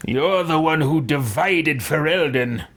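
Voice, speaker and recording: A man's voice: on the high side, measured pace, fairly bright tone. Proud, sardonic hero a man roleplaying. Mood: haughty, challenging. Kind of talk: roleplayed game dialogue.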